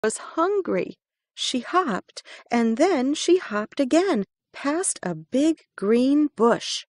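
Woman, storybook read-aloud, animated pitch, dry recording. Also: dry recording. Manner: slow, expressive read-aloud. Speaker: reader. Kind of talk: reading a picture book aloud.

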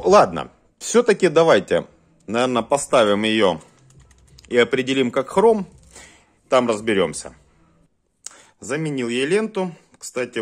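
A man giving casual hands-on commentary as a video host, talking haltingly in short muttered phrases. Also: mid-range voice. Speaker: host of a cassette deck test vlog.

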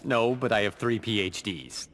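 A man's voice: lowish voice, moderate pace, close to an everyday voice. A man playing an earnest, modest young scientist superhero in a video game, polite and precise. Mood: modest, eager. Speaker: voice actor. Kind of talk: video game pre-fight dialogue. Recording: very noisy game audio with effects.

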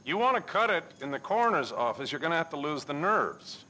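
An actor playing a jaded, wisecracking senior coroner in a video game cutscene, dry and clinical in manner. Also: male voice, a little high, sing-song, fairly quick, pushed and bright, slight background noise.

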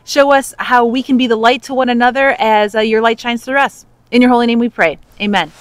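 A woman leading a short, gentle spoken prayer outdoors on a snowy patio. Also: fairly high pitch, fairly even intonation, brisk, clean tone.

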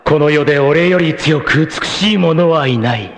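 An actor playing a vain, scheming, effete warlord villain in a cartoon, with a haughty, theatrical, word-savoring delivery. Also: male voice, fairly high pitch, normal speed, crowd noise, natural voice. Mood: smug, self-adoring. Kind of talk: theatrical anime villain acting.

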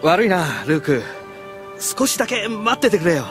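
A man's voice: high voice, very animated, moderate pace, over music. An actor playing a friendly, considerate young swordsman with a casual, apologetic manner in an anime audio drama. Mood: surprised, considerate.

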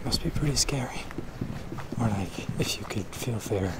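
A young man speaking softly and gently in an unhurried, musing ASMR roleplay style. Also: on the low side, expressive. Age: young adult. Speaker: ASMR creator. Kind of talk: soft-spoken ASMR roleplay. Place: outdoors at night, walking along a road. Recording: windy outdoor recording, loud wind noise. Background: wind and outdoor ambience.

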